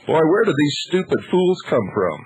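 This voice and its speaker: A man's voice: on the high side, big pitch swings, normal speed, darkish tone, breathy edge, poor audio. An American man hosting a live call-in radio show, questioning and debating in a confrontational, insistent, blunt way. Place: radio studio.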